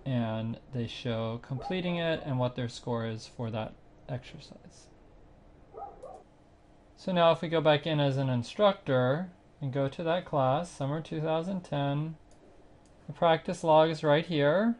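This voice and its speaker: A man narrating a screencast tutorial, explaining a music training website step by step in a plain, unhurried way with occasional fillers. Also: fairly high pitch, a little mellow, a little hiss or hum. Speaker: site instructor demonstrating software.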